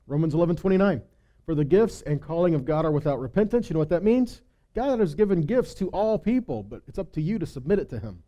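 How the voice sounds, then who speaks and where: A man delivers a scripture-teaching sermon in a fairly high yet warm, relaxed voice. He stresses key phrases, poses questions to his listeners and answers them himself, then drops into quieter asides. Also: fast. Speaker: congregation teacher or preacher.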